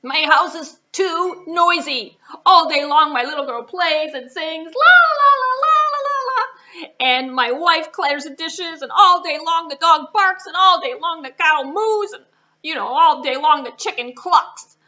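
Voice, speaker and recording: A woman voicing an exasperated male farmer in a children's storybook tale, whining and pleading in drawn-out, flustered complaints. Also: very high-pitched, expressive, bright, forceful tone, muffled recording, pitched above the performer's own voice, full character voice. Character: exasperated, whiny male farmer desperate for quiet.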